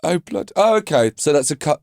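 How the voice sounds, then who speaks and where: A man in his thirties from London hosting a podcast, chatty and quick to laugh as he asks questions. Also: a little high, animated, gravelly, darkish tone, no echo, studio quality. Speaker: podcast host. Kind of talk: casual interview chat. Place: podcast studio.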